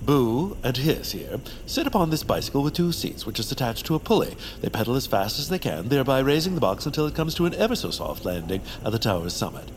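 A man's voice: medium pitch, big pitch swings, quick pace, few pauses, a little hiss or hum, natural voice. A man voicing a vain, self-important baron creature with grandiose, theatrical delivery in a cartoon audio drama. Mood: boastful, airy.

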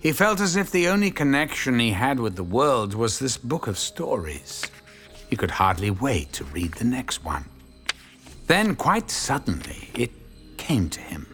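A male narrator tells a dark story with brooding drama. His mid-pitched voice moves widely up and down and drops to a hush as phrases end. Faint music plays behind him. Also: very animated, measured pace.

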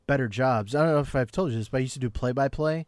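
A man co-hosting a casual podcast, excitable and rambling, with a fairly high voice. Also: very fast, no echo. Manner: excitable, rambling, talks in bursts. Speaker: podcast co-host.

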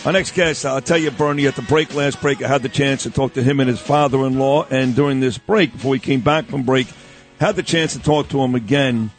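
A man's voice: mid-range voice, fairly even intonation, fairly quick, faint music behind. A man co-hosting a talk radio show, chatty and teasing as he introduces a guest.

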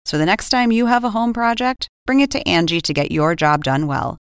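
A woman's voice: mid-range voice, lively intonation, fairly quick, clear, resonant tone, no room sound. A woman reading a scripted advertisement into a close studio microphone. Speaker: advertisement announcer. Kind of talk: scripted podcast ad read.

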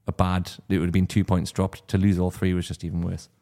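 Male panelist, low voice, emphatic, trips over words. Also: monotone, brisk, mellow. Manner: restarts and stumbles, emphatic. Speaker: podcast panelist. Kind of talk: football podcast discussion.

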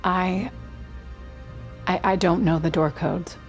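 Dying female scientist, lowish voice, halting, pleading, guilty, audio drama with music underneath. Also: steady tone. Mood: guilty, hesitant. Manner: halting, hesitant delivery. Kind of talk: naturalistic audio drama acting.

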